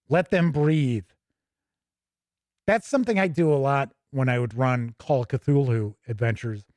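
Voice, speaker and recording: Man, slightly high voice, casual livestream commentary, chatty gaming show host, reading aloud with asides, dry sound. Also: darkish tone, no room sound.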